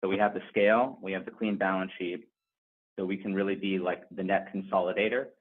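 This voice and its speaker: A man's voice: low voice, fairly even intonation, fairly quick. A male company executive giving unscripted answers on an earnings conference call. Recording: narrow, phone-like conference call line.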